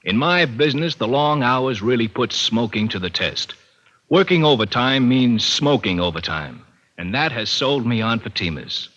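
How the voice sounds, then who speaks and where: A man's voice: medium pitch, animated, normal speed, a bit bright. A man giving a scripted testimonial in a 1950s radio advertisement. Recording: muffled old radio broadcast.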